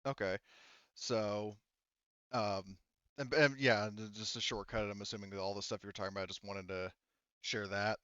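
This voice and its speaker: A man working as game master, narrating a tabletop roleplaying game in a halting, informal way. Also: fairly low pitch, steady tone, pushed and bright, smooth, dry recording, highs cut off. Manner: halting, informal, talks around points.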